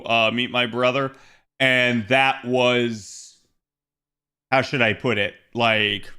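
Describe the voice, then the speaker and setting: A man live-streaming from home with a bright, forward voice that is roughened by hoarseness. He rambles through loose, chatty talk full of fillers and restarts. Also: lowish voice. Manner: rambling, self-correcting, many fillers. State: hoarse, slightly raspy voice. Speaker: game streamer. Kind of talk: casual live-stream chat, talking to viewers.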